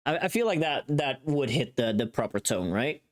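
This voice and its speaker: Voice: medium pitch, animated, normal speed. Casual streamer thinking aloud. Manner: thinking aloud, self-critiquing. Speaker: streamer recording voice lines. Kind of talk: casual livestream chat.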